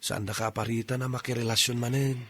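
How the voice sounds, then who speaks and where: An actor with an Ilocano accent playing an earnest, coaxing suitor in a radio drama. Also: male voice, mid-range voice, level tone, fast talker, a bit bright, no echo, clean studio sound, close to an everyday voice. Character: earnest male suitor courting a middle-aged woman.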